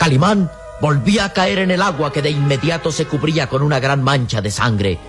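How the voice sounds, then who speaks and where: High-voiced male radio serial narrator, dramatic. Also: a little fast. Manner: solemn, theatrical, declamatory. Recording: radio serial with prominent background music.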